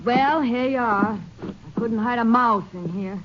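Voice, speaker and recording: A woman's voice: on the high side, unhurried, lightly acted. An actress playing a nervous, evasive woman covering for a fugitive, in a 1950s radio drama. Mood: guarded. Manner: guarded, defensive. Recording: noisy dull old radio recording.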